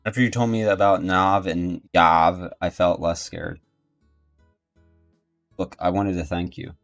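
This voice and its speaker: Low-voiced man, casual gaming commentator. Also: steady tone, measured pace, creaky, fairly bright tone, soft background music. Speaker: gaming YouTuber. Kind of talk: casual let's play commentary, reading game text aloud.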